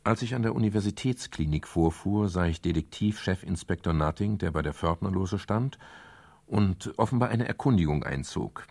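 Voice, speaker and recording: An actor playing a wry, world-weary private detective with a measured way of speaking, in a 1970s German radio crime drama. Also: male voice, low-pitched, lively intonation, vocal fry, a little mellow, dry recording, full character voice. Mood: reflective.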